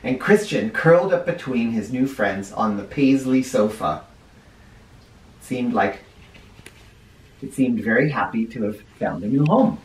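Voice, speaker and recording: Expressive male teacher reading aloud. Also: mid-range voice, unhurried, pauses often. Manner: expressive, stops to explain words to kids. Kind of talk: children's read-aloud with asides. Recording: roomy echo, mic at a distance.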